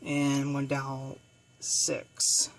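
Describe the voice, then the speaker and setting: A speaker giving a casual hands-on equipment demonstration in a halting, self-correcting way. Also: medium pitch, completely flat delivery, slight background noise. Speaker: video presenter demonstrating equipment.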